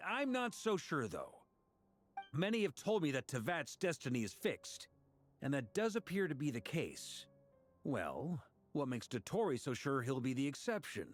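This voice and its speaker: A man's voice: mid-range voice, sing-song, normal speed, soft background music. An actor playing a confident, easygoing male knight commander in a video game, giving informal, rousing encouragement. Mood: rallying, resolute. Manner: informal, rousing pep-talk delivery.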